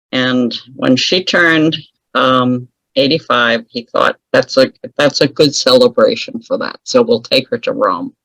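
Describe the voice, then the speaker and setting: A woman's voice: rumbling low, a bit bright, dry recording, low-quality recording. A woman telling a personal story as an interview guest, chatty and wry, laughing often at her own remarks. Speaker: guest author.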